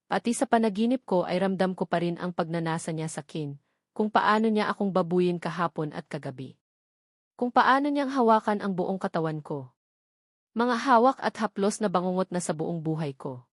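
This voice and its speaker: A woman's voice: fairly low pitch, lively intonation, moderate pace, no echo, studio quality. A woman reading a dramatic first-person story as an audiobook narrator, in a scripted style.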